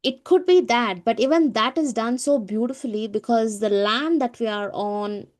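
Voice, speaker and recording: A woman's voice: on the high side, moderate pace. A woman from South India with an Indian accent, chatting as a co-host in a casual spiritual discussion.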